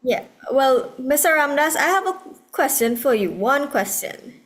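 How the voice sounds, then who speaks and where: Trini woman moderating on a video call, higher voice, slow and hesitant. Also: expressive. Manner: hesitant, many fillers. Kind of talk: moderating an online forum.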